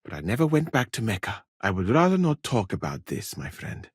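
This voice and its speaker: Learned medieval physician, lowish voice, slightly husky, dignified, formal, dry wit, video game dialogue. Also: male voice, wildly swooping pitch, no echo, exaggerated voice. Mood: guarded, polite. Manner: measured, polite, formal, articulate.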